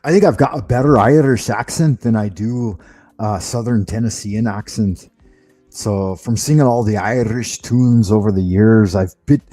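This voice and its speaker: A man's voice: fairly low pitch, warm tone. A man hosting a stream, rambling in casual chat and swearing freely. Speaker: streamer host.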